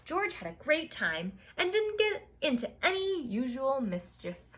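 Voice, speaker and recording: Female storytime reader, high voice, very animated delivery, speaks directly to kids and reacts as she reads. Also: measured pace. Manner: expressive, addresses children, exclaims and reacts to the story. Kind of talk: read-aloud picture book narration with asides to young listeners.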